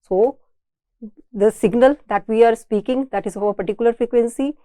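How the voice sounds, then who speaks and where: A woman's voice: fairly high pitch, moderate pace, dark tone, no echo. A woman with an Indian accent giving an explanatory technical lecture, repeating and rephrasing her points in a didactic way. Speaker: engineering lecturer.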